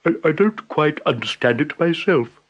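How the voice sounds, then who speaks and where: A man's voice: on the high side, expressive, moderate pace, breathy edge, muffled recording. Man doing a stammering, timid buffalo. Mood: nervous. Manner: stammering, nervous. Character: timid, proud male water buffalo.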